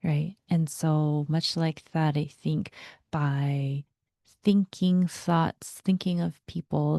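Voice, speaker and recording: A young woman whose first language is Vietnamese, giving a reflective guided talk in a soft voice that often slips into a breathy whisper. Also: low voice, no room sound, clean studio sound. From United States. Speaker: vlogger.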